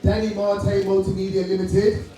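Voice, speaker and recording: A man with a Jamaican accent hosting an awards night, chatty and brisk, joking with the crowd. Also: high voice, monotone. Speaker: awards host. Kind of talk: live event compering. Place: large hall at a live event. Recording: echoey hall, taken from far off. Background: noisy crowd.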